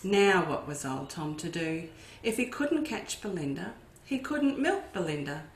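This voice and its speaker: Slow, expressive storytime reader. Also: female voice, on the low side. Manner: expressive, with asides to young listeners. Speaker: children's educator. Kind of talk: storytime read-aloud. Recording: echoey room.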